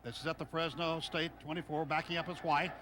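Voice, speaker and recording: High-voiced American football play-by-play man. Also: flat delivery, a little fast, fairly bright tone. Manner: clipped running description in short phrases. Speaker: radio play-by-play announcer. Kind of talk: live American football radio commentary. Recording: noisy live stadium radio broadcast.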